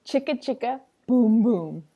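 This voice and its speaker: A woman's voice: fairly high pitch, animated, a little slow, mellow, breathy edge, lo-fi sound. A woman reading a picture book aloud like a teacher, with a sing-songy, playful, dramatic delivery and chatty asides.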